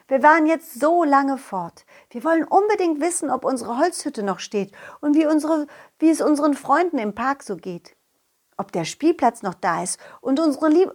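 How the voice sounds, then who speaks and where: Woman playing a high-voiced, lively storytelling dog. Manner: childlike, animated, addresses young listeners directly. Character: confident, loyal male dog telling his adventures to children. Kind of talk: children's audio story narration.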